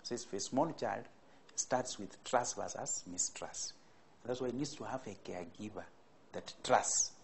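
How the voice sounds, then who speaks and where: A middle-aged man answering interview questions in an office, deliberate and pausing with fillers. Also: lowish voice, gravelly, a little hiss or hum. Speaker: expert interviewee. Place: office indoors.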